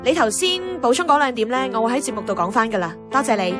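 A woman's voice: on the high side, big pitch swings, fast talker. A woman playing an eager, slightly flustered, polite young woman in a radio drama, with a Hong Kong accent. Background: music.

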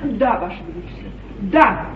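An actress playing a domineering, shrewd middle-aged duchess at an English royal court in a 1950s stage comedy, declaiming emphatically. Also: female voice, mid-range voice, animated, moderate pace, recorded from a distance, crowd noise, close to an everyday voice. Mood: indignant, accusing. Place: large theatre stage, from off. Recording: roomy, dull, noisy 1953 theatre stage recording.

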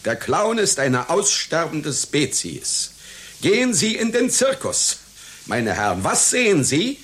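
An actor playing a vain, melancholy aging circus clown in a radio play, pompous and halting, with stammers. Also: male voice, fairly high pitch, very animated, fairly bright tone, slightly rough, exaggerated voice. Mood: lamenting, declamatory. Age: older. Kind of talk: satirical radio play acting. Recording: hissy radio play recording.

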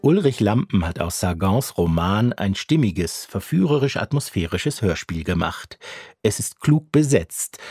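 Husky low-voiced man reviewing a radio play. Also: darkish tone, no echo, studio quality. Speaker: radio critic and reporter. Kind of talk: scripted review narration.

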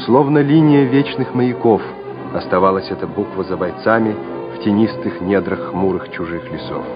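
A man narrating a wartime radio drama in a measured, solemn, scripted way. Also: sits low, natural voice. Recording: dull old radio recording with music.

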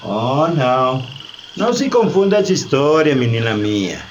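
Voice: mid-range voice, sing-song, unhurried, muffled recording, slight background noise. An actor playing a jolly, teasing carnival barker in a radio play, with a showy sing-song delivery and tinged Spanish. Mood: mock scolding. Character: jolly, teasing Brazilian carnival barker inviting people along. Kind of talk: theatrical radio drama acting.

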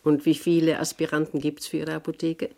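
An actress playing a strong-willed, shrewd seventy-year-old aunt in a 1960s radio play, brisk and admonishing. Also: female voice, low voice, monotone, gravelly, mellow. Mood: frank, measured. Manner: brisk, admonishing, matter-of-fact. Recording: 1960s radio recording with background noise.

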